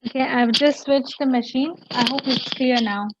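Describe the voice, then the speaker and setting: A woman teaching an online class over a video call, pausing often with fillers as she searches for words. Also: high-pitched, steady tone, highs cut off, noisy background.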